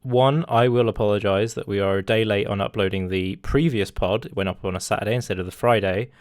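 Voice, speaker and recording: A young man in his twenties chatting casually as a podcast host, rambling through his thoughts with plenty of ums. His voice sits on the low side and the mic is dry and close. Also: fairly even intonation, brisk, darkish tone, clean tone, dry recording. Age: 20s. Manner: rambling, lots of fillers and laughter.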